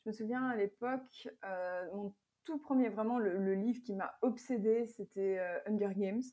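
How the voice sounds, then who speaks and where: A woman's voice: medium pitch, moderate pace, warm tone. Mid 20s woman from belgium, medium voice, casual chat as interview guest.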